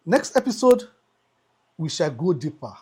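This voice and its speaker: A man hosting a scripted motivational monologue, speaking emphatically like an orator, with deliberate repetition and pauses. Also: fairly high pitch, sing-song, darkish tone, breathy edge. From Africa. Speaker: host.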